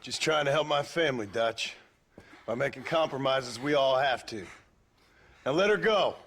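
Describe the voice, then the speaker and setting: A man playing a gruff, stubborn ex-outlaw cowboy, blunt and terse, in a video game cutscene. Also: mid-range voice, animated, moderate pace, a bit bright. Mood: stern.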